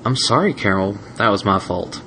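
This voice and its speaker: Man doing a gentle, soft-spoken stallion. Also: on the low side, lively intonation, fairly quick, slight background noise. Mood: tender. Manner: earnest, emotional.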